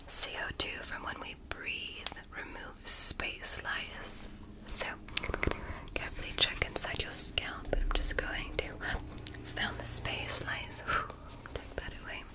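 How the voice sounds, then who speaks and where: Whispering woman, ASMR alien medical examiner, very slow, deliberate, soothing, gently attentive. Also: rough recording. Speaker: ASMR performer.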